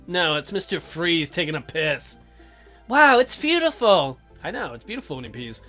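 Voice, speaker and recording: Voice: mid-range voice, lively intonation, fairly quick, pushed and bright, faint music behind. A let's player reading a visual novel aloud, joking crudely and irreverently between the voiced lines. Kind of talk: comedic game playthrough, voicing characters.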